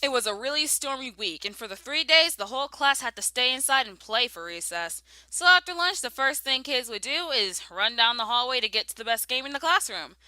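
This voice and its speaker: A woman's voice: high-pitched, expressive, a little fast, few pauses, sharp tone, soft background music, rough recording. A young American woman narrating a scripted personal story with emphatic, dramatic delivery. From southern United States.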